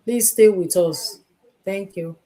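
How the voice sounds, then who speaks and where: A woman with a Nigerian accent introducing herself as a mother, wife and mentor on a live stream, speaking slowly and deliberately. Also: medium pitch, warm tone. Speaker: guest co-host.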